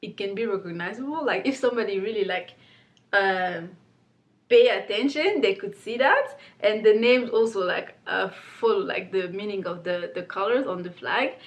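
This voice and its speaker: A woman's voice: mid-range voice, moderate pace, dull audio. A woman, chatting about her makeup palette in a casual, filler-heavy way, seated close to the camera indoors. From Congo. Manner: chatty and warm, many fillers like "like" and "um". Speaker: beauty brand owner presenting her palette. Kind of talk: casual explanatory talk to camera. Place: indoor studio with plain pink backdrop.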